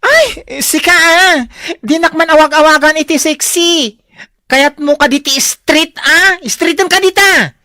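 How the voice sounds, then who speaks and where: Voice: very high voice, measured pace, pushed and bright, no echo, clean studio sound, heavily acted. A performer playing a brash, protective younger sibling in a comedy radio drama, snappy and exclamatory. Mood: indignant.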